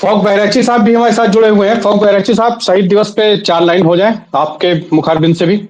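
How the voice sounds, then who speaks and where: Male host, higher voice, courteous and eager, introduces poets. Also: fairly even intonation, brisk, darkish tone. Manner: courteous, with eager praise interjections. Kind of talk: hosting a poetry program.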